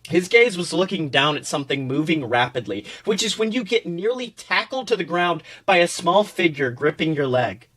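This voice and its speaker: Male podcast narrator, somewhat high pitch, theatrical second-person storytelling. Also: very animated, normal speed, hardly any pauses, a bit bright. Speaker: podcast host reading fan fiction.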